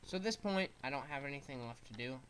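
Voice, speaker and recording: A man's voice: medium pitch, expressive, quick pace, fairly bright tone, a little hiss or hum, poor audio. A young man with a stuffed-up nose casually commenting on a game he is playing, explaining the controls as he goes. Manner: rambling, explains controls as he plays. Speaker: gaming streamer.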